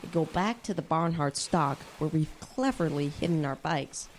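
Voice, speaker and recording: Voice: medium pitch, expressive, moderate pace, natural voice. A young performer playing a bold, scheming teenage boy who leads a gang of kids in a radio drama, persuasive and informal. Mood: confident. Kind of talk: youth radio drama acting. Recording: noticeable background noise.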